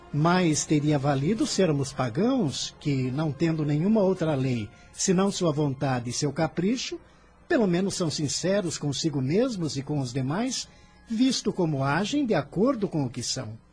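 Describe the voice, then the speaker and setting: A man's voice: on the high side, very animated, normal speed, darkish tone, husky, faint music behind, heavily acted. An actor playing an impassioned, defiant fifteen-year-old boy who defends the poor, declaiming fervently in a radio drama. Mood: indignant, sarcastic.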